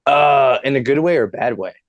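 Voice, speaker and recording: A man's voice: medium pitch, normal speed, no echo, lo-fi sound. A man in his twenties, a podcast guest, telling a long funny story in casual chat, laughing and swearing as he goes.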